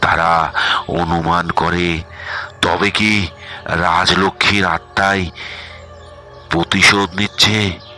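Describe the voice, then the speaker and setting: Horror story narrator, man, very bright forceful tone, unhurried delivery. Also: sits low, little pitch movement, soft background music.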